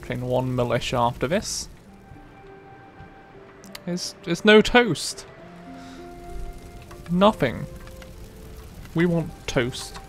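A man's voice: a little high, animated, drawn-out words, long pauses, a bit bright. A man gives casual let's play commentary. He takes his time as he talks, with some game music playing behind him. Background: video game music.

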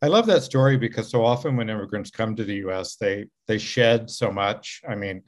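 An older man hosting an interview over a video call, speaking in a measured, reflective way as he frames his questions. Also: lowish voice. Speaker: interview host. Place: living room at home. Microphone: video call webcam.

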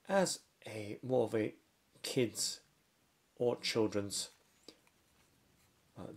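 Man, casual product reviewer, gravelly, very slow, halting short phrases, step-by-step demo. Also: medium pitch. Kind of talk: casual unboxing and hands-on demonstration.

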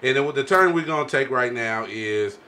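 A man's voice: medium pitch, expressive, brisk, pushed and bright. A man hosting a podcast casually, speaking colloquially with plenty of slang. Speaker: podcast host. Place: small home-style podcast studio. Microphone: podcast microphone on boom arm.

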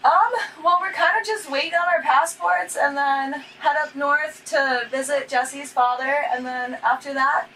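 A woman in her twenties from Saipan, a boat owner and captain, answering interview questions in a relaxed way inside a small boat cabin. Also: high voice, unhurried, hardly any pauses. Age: 20s. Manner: relaxed, laid-back with fillers. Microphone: camera across the table. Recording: distant camera mic with background noise.

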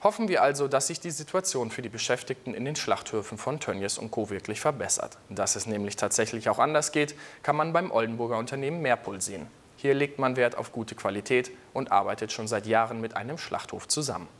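A man's voice: mid-range voice, a little fast, few pauses, a bit bright. A young man presenting scripted local news in a studio. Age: young adult. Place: indoor TV studio.

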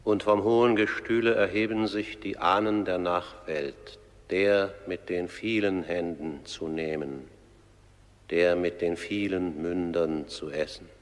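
An actor playing the solemn narrator of an underworld trial in a radio drama, measured and declamatory. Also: male voice, deep voice, steady tone. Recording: long reverberant hall.

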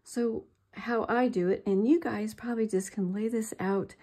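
A woman's voice: a little high, steady tone, dark tone. An older woman giving a chatty, step-by-step craft demonstration at her work table. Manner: slow, chatty, self-deprecating. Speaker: craft tutorial host.

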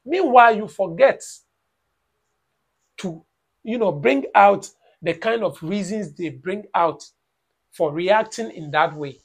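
A man's voice: high voice, slow pace, long pauses, slightly rough. Emphatic Ghanaian man lecturing on camera. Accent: Ghanaian English. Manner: lecturing, emphatic, repeats phrases, mocks with "blah blah". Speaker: YouTube reaction vlogger. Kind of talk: opinion vlog commentary. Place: small room at home, indoors.

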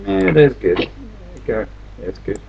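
Casual gameplay commentator, gravelly and unhurried, mid pitch with lively swings, repeats phrases to self, noisy home recording. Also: dull audio. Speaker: gamer commenting on own gameplay.

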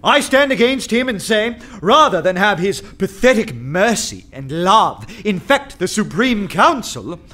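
An actor playing a bold, sardonic poet posing as a fierce anarchist, oratorical and wry in a radio play. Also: male voice, high voice, big pitch swings, unhurried, few pauses, sharp tone, full character voice. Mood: fiery oratory. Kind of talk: theatrical radio drama acting. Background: dramatic music.

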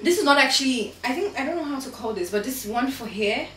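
A woman's voice: high-pitched, expressive, fast talker, fairly bright tone. A woman in her twenties with a Ghanaian American accent, chatting casually to camera in her home kitchen and laughing often. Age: 20s. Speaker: vlogger. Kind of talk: casual vlog chat. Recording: echoey room, distant mic, noisy.

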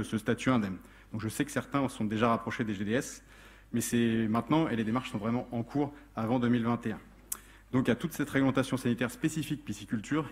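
Frenchman giving a technical conference presentation. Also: mid-range voice. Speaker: conference speaker, technical adviser. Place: indoor lecture hall with seated audience.

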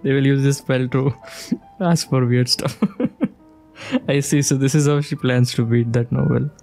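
A woman streamer gives casual, teasing commentary as she plays. Her voice is extremely deep for a woman and mellow, with faint game music underneath. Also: steady tone, unhurried, creaky. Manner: teasing, giggly, reacting aloud to the game.

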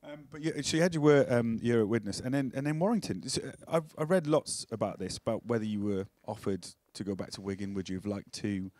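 A man's voice: fairly low pitch, fairly quick, hardly any pauses, darkish tone, no room sound. A man whose first language is Welsh, hosting a podcast and asking teasing questions into a handheld mic in a studio. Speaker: podcast host. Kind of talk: casual chat. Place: studio set on a sofa.

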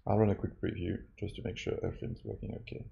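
A man narrating a step-by-step software tutorial as a demo presenter. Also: low-pitched, little pitch movement, very fast, vocal fry, lo-fi sound. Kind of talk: scripted tutorial narration over a screen recording.

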